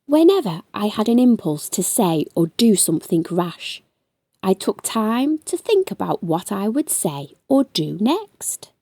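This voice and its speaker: Slow, animated woman reading a storybook. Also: mid-range voice, dry recording. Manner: animated, deliberate storytelling with drawn-out emphasis. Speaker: storytime host reading a children's book aloud. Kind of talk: expressive children's read-aloud narration.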